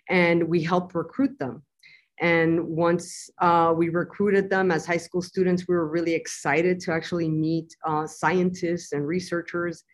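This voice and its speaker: A woman from the US-Mexico border, bilingual in Spanish and English, answering interview questions about her work as a community-engaged scientist in an earnest, measured way with frequent fillers. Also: sits low. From US-Mexico border, Nogales.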